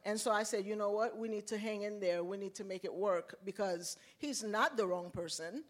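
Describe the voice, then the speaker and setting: A woman's voice: medium pitch, normal speed. Earnest woman from Haiti giving testimony. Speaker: ministry leader sharing testimony.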